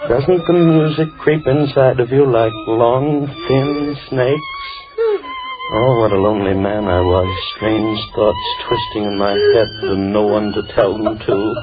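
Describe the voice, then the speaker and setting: An actor playing a mad, menacing, falsely gentle captor in a 1950s radio horror drama, coaxing theatrically and repeating his phrases. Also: mid-range voice, huge pitch swings, measured pace, over music. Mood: crazed glee. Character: mad, menacing faceless man tormenting captive women, falsely gentle. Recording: muffled old radio broadcast, crowd-like background sound.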